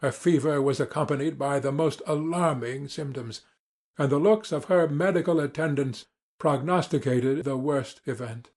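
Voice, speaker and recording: A man reading classic literature as a dramatic audiobook narrator, formal, measured and earnest. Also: fairly high pitch, a little mellow.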